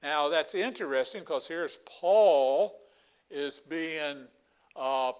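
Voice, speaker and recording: A man's voice: fairly high pitch, fairly bright tone. An older man preaching a Bible lesson in a folksy way, with many fillers and asides. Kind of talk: Bible teaching sermon.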